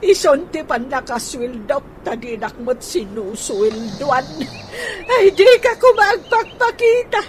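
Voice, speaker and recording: A woman with an Ilocano accent playing an aggrieved, nagging older housemaid in a radio comedy drama, full of halting interjections. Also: high-pitched, big pitch swings, exaggerated voice. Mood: exasperated. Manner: halting, peppered with sighing interjections. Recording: noisy background with ambient bird sounds.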